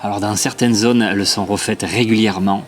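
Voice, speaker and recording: A man co-hosting a casual travel vlog, blunt and emphatic and quick to laugh, recorded outdoors on a camera mic. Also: fairly low pitch, fairly even intonation, a little hiss or hum. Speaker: vlogger. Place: outdoors in hot weather.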